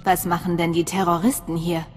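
An actress playing a cool, businesslike imperial agent with clipped delivery in a video game. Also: female voice, on the low side, level tone, a little fast, darkish tone, natural voice. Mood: cool, focused. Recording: long reverb, faint music behind.

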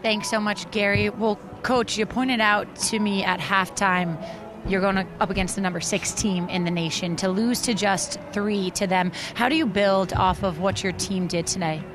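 A woman's voice: mid-range voice, fairly even intonation, normal speed, music in the background. A young woman reporting from the sideline holds a handheld mic outdoors at night. She puts her questions in a polished, upbeat broadcast manner. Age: young adult. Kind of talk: postgame field interview. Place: outdoors on a football field at night.

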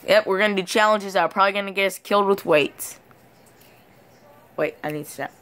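A man's voice: high-pitched, brisk, a bit bright, slight background noise. A teenage boy chatting casually on his vlog in a cluttered bedroom, playful and rambling, filming himself on a phone at arm's length. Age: teens. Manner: playful, rambling, hums filler sounds. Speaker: vlogger.

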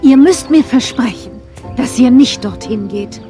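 A worried dinosaur grandmother speaks in a children's radio play. She is earnest, protective and firm, in a raised pitch. Music plays clearly behind her. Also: animated, measured pace, warm tone. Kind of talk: children's audio drama acting.

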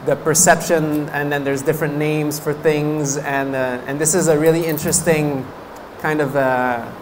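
A man's voice: fairly high pitch, a little hiss or hum, rough recording. A man in his thirties, a Buddhist monk whose first language is French, giving a chatty dharma talk at a meditation course, laughing often between fillers. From French-speaking Canada. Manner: gestures with his hands while talking. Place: meditation hall, seated before a banner. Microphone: stand mic in front of him.

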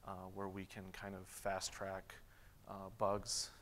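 A man in his thirties answering audience questions at a tech conference, relaxed, with lots of "um"s and restarts. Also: low-pitched, takes it slowly, slight background noise. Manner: relaxed, many fillers and restarts. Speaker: conference keynote speaker. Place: large conference hall stage. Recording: long hall reverb.

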